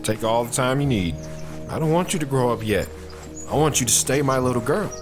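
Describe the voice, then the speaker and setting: A man's voice: mid-range voice, expressive, a little fast, lightly acted. An actor playing a protective soldier father who talks patiently and earnestly to his daughter in an audio drama. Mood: warm, teasing. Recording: music behind the voice.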